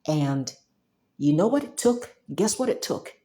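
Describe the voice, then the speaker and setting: Woman, young adult, from Cameroon, low-pitched, emphatic casual monologue, restarts. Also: unhurried. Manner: animated and emphatic, with frequent restarts and laughs. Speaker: software developer talking to camera.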